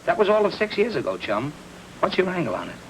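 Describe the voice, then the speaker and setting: High-voiced, slangy wisecracking counterman. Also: sing-song, quick pace, gravelly, a bit bright, exaggerated voice, rough recording. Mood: wary, cagey. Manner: slangy showman's patter. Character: wisecracking ex-vaudeville male lunch counter proprietor, breezy and cagey. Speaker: actor playing a lunch counter owner. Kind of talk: 1940s film noir acting. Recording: old film soundtrack with faint noise.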